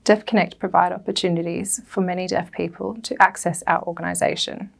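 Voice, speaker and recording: A woman interpreting a prepared talk aloud, lower-pitched for a woman and gravelly. She speaks carefully in short phrases. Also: flat delivery, brisk. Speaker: sign language interpreter voicing a deaf speaker.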